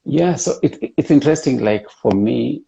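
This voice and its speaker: A man interviewing someone in a casual, conversational way, with hesitant, unhurried phrasing. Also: mid-range voice, expressive, gravelly, mellow, husky, highs cut off. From East and West Africa. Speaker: interviewer, host.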